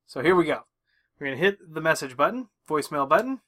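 Man, middle-aged tutorial host, casual step-by-step delivery, pitched a bit high. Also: animated, quick pace, a bit bright. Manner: informal, talks through each step as doing it. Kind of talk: casual instructional walkthrough. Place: small room with tech equipment, indoors.

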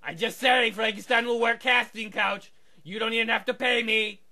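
Eager, simple-minded monster for improv comedy; strained, very sharp tone with heavy gravel, broken grammar. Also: male voice, extremely high pitch, fairly even intonation, normal speed, heavily acted. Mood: pleading, sly. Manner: broken third-person caveman grammar. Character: dim, eager male Frankenstein monster wanting acting work. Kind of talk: improvised comedy character bit.

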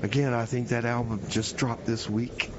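A man's voice: mid-range voice, little pitch movement, normal speed, highs cut off, background noise, close to an everyday voice. A man playing a nervous, easily distracted jailed radio DJ in a comedy skit, rambling and stalling as he hosts. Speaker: radio show host.

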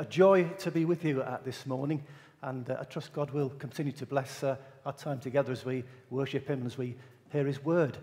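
A man's voice: medium pitch, a little mellow. A middle-aged man with a Yorkshire accent, a visiting preacher introducing himself hesitantly with many fillers. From Wales, raised in Yorkshire. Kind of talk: casual self-introduction to a congregation. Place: church sanctuary. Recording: long reverb of a church hall.

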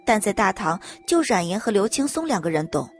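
Lively female audiobook narrator over music. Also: mid-range voice, fairly quick. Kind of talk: scripted dramatic story narration. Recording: music bed under narration.

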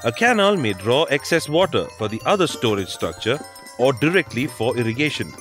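A man's voice: medium pitch, big pitch swings, brisk, fairly bright tone. A man reading scripted instructional narration for a documentary. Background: background music.

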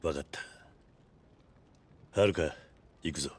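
A man's voice: rumbling low, slow, husky, full character voice. An actor playing a stoic middle-aged ex-yakuza man in a Japanese video game, speaking in a terse, blunt, restrained way. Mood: firm.

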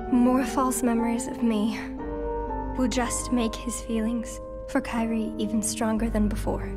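A woman voicing a quiet, sorrowful young girl in a video game cutscene, soft-spoken but resolute. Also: fairly high pitch, lightly acted. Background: soft game music.

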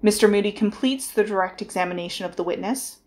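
A woman narrating a trial drama in a formal, deliberate, scripted announcing style. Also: medium pitch, darkish tone.

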